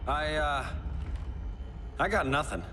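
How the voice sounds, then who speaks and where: An actor playing a flippant, wisecracking superhero archer in a video game, with a sarcastic, quippy delivery. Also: male voice, a little high, slow, noisy background. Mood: bewildered, wry. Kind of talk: dramatic video game acting. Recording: loud cinematic music under voice.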